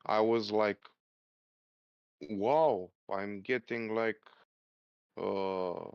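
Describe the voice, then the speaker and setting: A man speaks over dull, dry lo-fi voice chat audio. His voice has a fry to it, and he tells personal stories casually and haltingly, repeating phrases for emphasis. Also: on the low side, no echo. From Romania. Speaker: trader taking part in a group voice chat.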